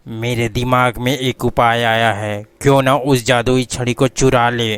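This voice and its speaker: A man's voice: medium pitch, little pitch movement, unhurried, a bit bright, clear, resonant tone, dry recording, close to an everyday voice. A man voicing a scheming talking dog in a cartoon story, speaking in a plain, bossy way.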